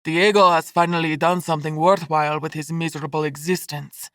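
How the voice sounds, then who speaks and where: A woman's voice: low-pitched, fairly even intonation, fairly quick, fairly bright tone, no echo, studio quality. A woman voicing a stern, scornful middle-aged man with a Spanish accent in an audiobook, hollow and precisely enunciated.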